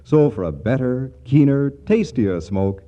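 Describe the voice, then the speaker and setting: A man reading a cigarette advert in 1940s radio style, measured and emphatic. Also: mid-range voice, expressive, mellow. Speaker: commercial announcer. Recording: muffled, band-limited vintage radio recording.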